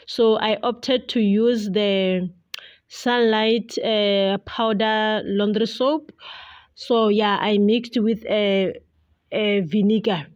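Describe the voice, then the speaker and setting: Slow-talking woman in a casual vlog. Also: mid-range voice, dull audio. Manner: hesitant, chatty, addressing viewers as guys. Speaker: vlogger, homemaker.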